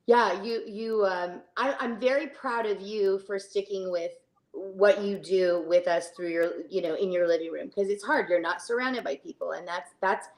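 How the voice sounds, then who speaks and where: Chatty, halting middle-aged woman. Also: medium pitch, low-quality recording. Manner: chatty, halting, trails into tangents. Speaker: fitness studio owner, interview guest. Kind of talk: casual conversational interview.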